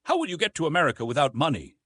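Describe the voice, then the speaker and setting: A man voicing a patient, civilized gentleman friend in an adventure audiobook, courteous and gently reasoning. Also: on the high side, huge pitch swings, very fast, husky, no echo, pitched above the performer's own voice, heavily acted. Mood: friendly reasoning. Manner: courteous, explanatory.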